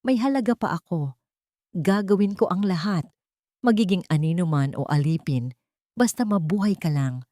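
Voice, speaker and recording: A woman's voice: on the low side, expressive, normal speed, long pauses, warm tone, no echo, studio quality, natural voice. A woman voicing an earnest, humble young working woman who pleads with resolve in an audio drama. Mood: tender, determined.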